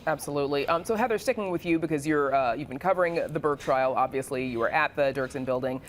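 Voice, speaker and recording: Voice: medium pitch, fast, slight background noise. A news anchor hosting a broadcast panel, reading introductions and putting questions to reporters in a conversational way.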